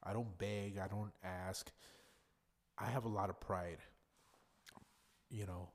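A man's voice: low-pitched, steady tone, slow pace. Congested, nasal male podcast host. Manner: rambling, profane, loose and chatty. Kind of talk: casual solo podcast monologue.

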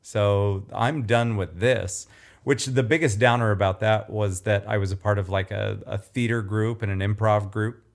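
A man with a nasal, throaty voice and a fairly low pitch talks in loose, rambling podcast chat. He often restarts his sentences and pokes fun at himself. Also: fairly even intonation, normal speed. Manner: self-deprecating, rambling with restarts. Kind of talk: casual chat.

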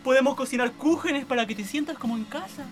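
A woman's voice: sits high, fast, soft background music, lightly acted. An actress playing a devoted, wounded woman who has waited years for her lover, speaking with heartfelt pleading in a radio play. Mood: pleading, hopeful. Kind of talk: radio theatre acting.

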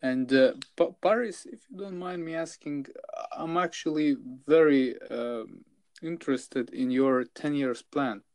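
Slow-talking hesitant male host, dry sound. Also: on the high side, darkish tone, no echo. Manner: hesitant questions with stumbles. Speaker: podcast host. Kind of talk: casual interview.

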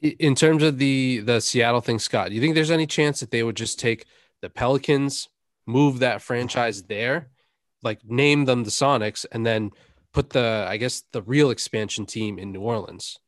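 A man's voice: mid-range voice, moderate pace, dry recording. Young man chatting, dry close recording. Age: young adult. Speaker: podcast co-host. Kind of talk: casual sports chat.

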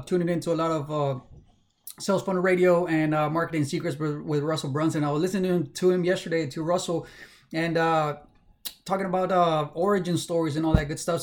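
A young man from South Texas talks candidly in a casual livestream monologue, halting often with fillers and restarts. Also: sits high. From South Texas, USA. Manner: candid, personal. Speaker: livestream host.

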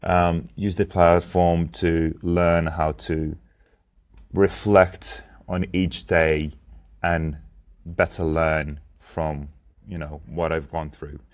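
A man's voice: sits low, little pitch movement, slow. A young man from Lithuania, speaking English as a second language, talks through his plans in a reflective personal diary monologue, hesitating often with ums and restarts. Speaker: podcast host and founder.

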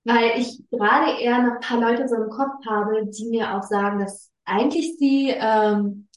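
A woman hosting a casual podcast conversation, enthusiastic and halting with many restarts. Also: a little high, fairly even intonation. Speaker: podcast host. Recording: roomy echo.

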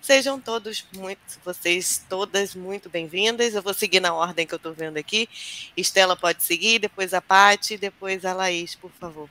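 A woman's voice: medium pitch, normal speed, bright, forceful tone, low-quality recording. A woman from Rio de Janeiro, hosting a live online show while she has a cold, chatty and welcoming. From Rio de Janeiro, Brazil. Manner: chatty, welcoming, talks to the audience directly. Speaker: host. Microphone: video call.

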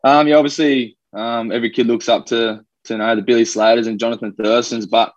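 Young australian man, casual podcast guest, relaxed rambling talk, muffled dry sound. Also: mid-range voice, brisk, no room sound. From New Zealand, raised in Australia. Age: young adult. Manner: laid-back, rambling, many fillers. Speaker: rugby league player guest.

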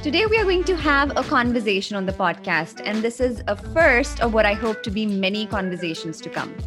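A woman's voice: mid-range voice, sing-song, fairly quick, music in the background. A woman hosting a podcast, introducing and chatting with her guest in an enthusiastic, playfully teasing way. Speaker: podcast host. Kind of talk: podcast conversation.

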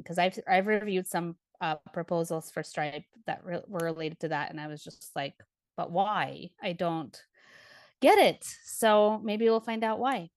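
Woman from Ukraine, podcast panelist; lowish, animated, filler-heavy delivery; dry booth sound. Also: no echo. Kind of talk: casual podcast chat.

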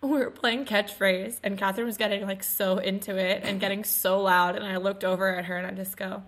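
A woman in her twenties co-hosting a podcast in casual chat, her voice still a little raspy after losing it, telling stories with laughs. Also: medium pitch, fairly even intonation, fairly quick, a bit bright. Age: 20s. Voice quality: slightly raspy. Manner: chatty, laughing storyteller. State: recovering from lost voice. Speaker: podcast co-host.

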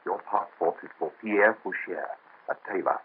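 A deep-voiced earnest doctor. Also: male voice, moderate pace, slightly rough. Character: kind young male doctor of the Revolution. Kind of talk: 1930s radio drama acting. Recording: muffled lo-fi old radio transfer.

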